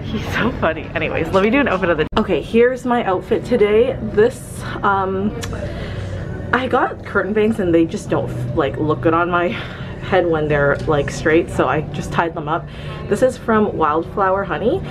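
A woman's voice: fairly low pitch, animated, moderate pace, music in the background, low-quality recording. Chatty young woman vlogging in a store. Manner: chatty, rambling with fillers. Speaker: fashion vlogger. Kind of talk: casual try-on haul vlog. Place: clothing store, indoors. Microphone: handheld camera.

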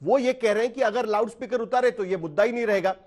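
A male news anchor with an Indian accent and a high-pitched voice. He puts long questions to a guest in a broadcast interview style, speaking from a TV studio. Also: fast talker, darkish tone.

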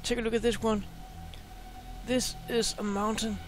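A man hosting a space video, talking casually and excitedly over a screen share, often repeating words. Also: high-pitched, normal speed, a little hiss or hum, low-quality recording.

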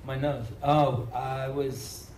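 A man's voice: mid-range voice, little pitch movement, slow, darkish tone. A man on a convention panel, answering in a wry, drawling way. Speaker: actor on a panel. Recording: echoey tent with faint noise.

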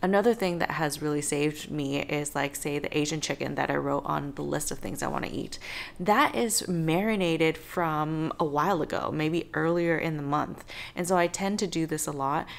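A woman's voice: low voice, moderate pace, creaky. A woman in her thirties explaining home cooking in an informal, conversational vlog style. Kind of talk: casual vlog explanation to camera.

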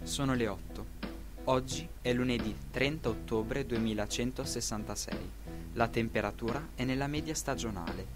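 A man voices a talking radio alarm clock in a dystopian radio play. The delivery is low, formal and impersonal, reciting official notices over clear background music. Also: close to an everyday voice. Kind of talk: scripted radio drama announcement.

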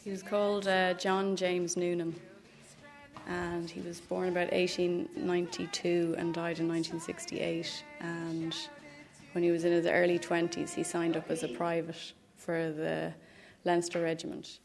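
A woman's voice: on the low side, monotone, a little slow, a little mellow. A woman narrating informally, as if for a documentary. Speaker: narrator, playwright. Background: music.

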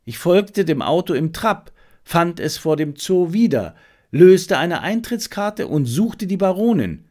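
A man voicing an eager, disobedient messenger boy who plays junior sleuth in an audiobook, reporting earnestly and excitably. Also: high voice, a little slow, pitched above the performer's own voice. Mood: sheepish, eager.

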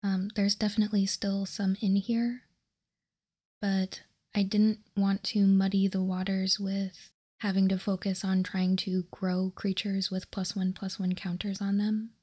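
A woman softly whispering ASMR narration close to the mic, calmly and methodically explaining as a host. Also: mid-range voice. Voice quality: soft, breathy whisper. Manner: calm, measured explaining. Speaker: host of a card game ASMR channel.

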